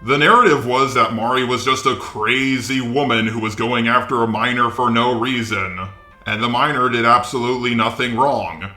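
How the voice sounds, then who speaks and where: A man's voice: mid-range voice, fairly even intonation, moderate pace, sharp tone, roomy sound, faint music behind. A man delivering scripted commentary in a sarcastic, exasperated way, with swearing. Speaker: commentary video creator.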